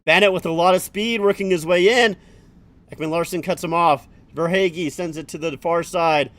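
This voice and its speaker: A man's voice: on the high side, a bit bright. A man streaming a live hockey watch-along, calling the play-by-play and chatting with viewers between plays. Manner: rapid running play-by-play, swears, chats with viewers.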